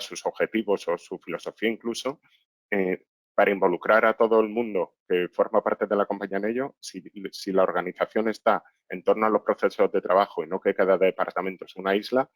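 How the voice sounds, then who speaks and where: A man's voice: deep voice, fairly even intonation, brisk, no room sound. A man giving an explanatory business presentation as a consultant, often adding short tag questions to check that listeners follow. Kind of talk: webinar presentation with slides.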